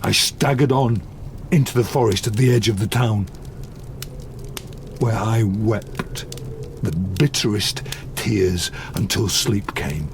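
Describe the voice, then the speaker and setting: A man playing a lonely, wounded, eloquent monster in an audio drama, speaking slowly and solemnly. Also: on the low side, a little hiss or hum. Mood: haunted, sorrowful. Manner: slow, deliberate, solemn storytelling.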